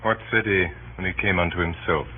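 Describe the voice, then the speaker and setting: A grave, noble Roman man, played by an actor in a 1930s radio Shakespeare production. His voice is very deep, his speech measured and formal. The recording is narrow and tinny with faint hiss. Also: fairly even intonation, lightly acted. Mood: grave, resolved. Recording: narrow-band hissy 1930s radio broadcast.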